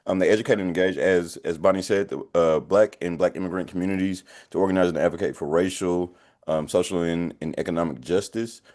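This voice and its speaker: A man's voice: deep voice, fairly even intonation, fairly quick, darkish tone. A man moderating a webinar panel, introducing guests in an upbeat way and often repeating his thanks.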